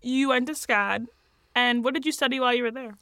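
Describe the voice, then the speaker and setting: A woman's voice: on the high side, a little fast, pushed and bright, smooth, dry recording. A woman hosting a food podcast, reading an ad and asking upbeat interview questions. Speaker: podcast host. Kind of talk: interview and read ad. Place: recording studio.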